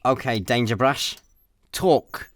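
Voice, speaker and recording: An actor playing an easygoing, scatterbrained intergalactic slacker with a Scottish accent, chatty and deadpan in a comedic sci-fi radio play. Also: male voice, medium pitch, slow, no room sound, lightly acted. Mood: casual banter.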